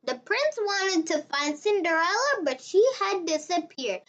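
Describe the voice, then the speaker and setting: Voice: very high-pitched, big pitch swings, measured pace, fairly bright tone, lo-fi sound. A child reading a children's fairy tale aloud, word by word like a beginner reader. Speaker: story reader.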